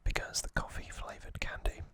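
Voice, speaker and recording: A man whispering breathily as an ASMR host, telling a story in hushed, short phrases with pauses between them. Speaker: host of an ASMR trivia channel.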